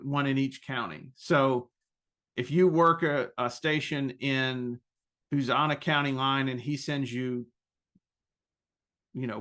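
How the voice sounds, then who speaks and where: A man presenting an informal webinar question-and-answer session, explaining things in a halting, self-correcting way. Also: on the high side, a bit bright.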